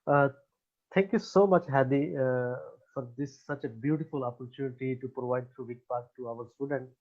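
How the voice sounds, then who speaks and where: A man's voice: medium pitch, moderate pace. A man with a Pakistani accent, a native Urdu speaker, hosting an informal promotional talk for students in an effusive, repetitive sales manner. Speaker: education consultancy host. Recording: slightly dull, lo-fi sound.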